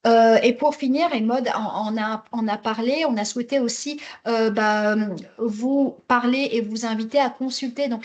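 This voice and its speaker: A woman with a fairly high voice presents at an online webinar. Her delivery is semi-scripted and halting, with words often repeated as she searches for the next phrase. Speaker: webinar presenter for a health network.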